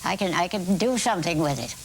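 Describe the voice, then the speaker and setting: An American woman in her eighties telling reflective stories in an interview, with deliberate, dramatic phrasing, pauses and repetition. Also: lowish voice, expressive, a little hiss or hum, low-quality recording. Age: 80s. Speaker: amateur conductor being interviewed.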